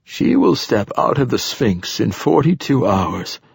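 Ancient male poet, played by a male narrator: raspy, weary yet insistent prophet, pitched well above the narration, for a science fiction audiobook. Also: sing-song, unhurried, no echo, full character voice. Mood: grave, urgent. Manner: tired, declamatory.

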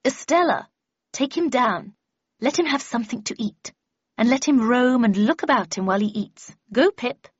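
A woman voicing a stern, sharp-tongued, commanding older woman in an audiobook, brusque and brooking no argument. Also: animated, normal speed, slightly rough, no room sound, muffled recording. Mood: impatient, commanding. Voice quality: low growl at times. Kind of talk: audiobook character voice.

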